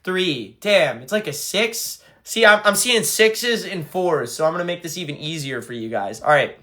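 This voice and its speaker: A man's voice: a little high, animated, normal speed, bright, forceful tone. A young man who fronts a band, chatting casually on a livestream and reading fan comments aloud.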